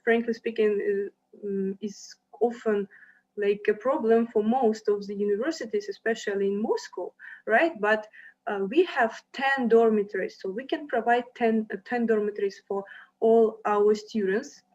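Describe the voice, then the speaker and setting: A woman's voice: a little high, moderate pace, darkish tone, lo-fi sound. A young woman from Ukraine presenting a university to applicants over slides, speaking hesitantly with many fillers and restarts.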